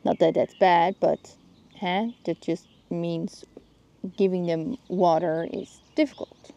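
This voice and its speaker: A Dutch woman filming herself outdoors in her garden with a handheld phone. She talks casually and often pauses to search for plant names. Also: fairly low pitch, steady tone, gravelly, mellow, muffled recording. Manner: searching for words, thinking aloud. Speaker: gardening vlogger.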